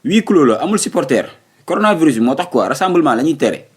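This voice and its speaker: A man's voice: a little high, sing-song, slightly rough. A man voicing a determined, evasive young son in a comic cartoon, with a Senegalese accent and an argumentative way of talking. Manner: argumentative, quick excuses.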